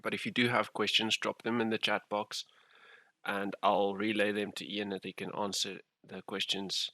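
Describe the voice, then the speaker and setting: A man's voice: on the low side, flat delivery, normal speed, bright, forceful tone, no room sound. A man hosting a casual livestream interview, talking in loose run-on phrases and laughing often. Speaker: livestream host.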